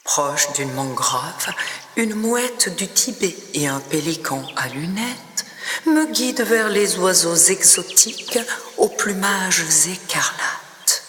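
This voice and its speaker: Woman, slow poetry recitation, bright tone, breathy hushed phrase endings, long reverb. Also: lowish voice, expressive, a little hiss or hum. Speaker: poet reading own poem. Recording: long reverb, noticeable background ambience.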